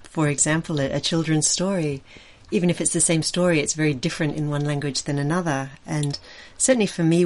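Low-voiced woman interviewer. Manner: measured, articulate questioning. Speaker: interviewer and presenter. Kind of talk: scripted introduction and interview.

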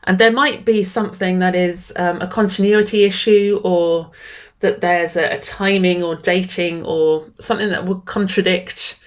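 Lower-pitched woman, eager, restarting often. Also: fairly even intonation, moderate pace. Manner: enthusiastic, many restarts and repeated words. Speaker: writer and guest. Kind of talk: conversational interview.

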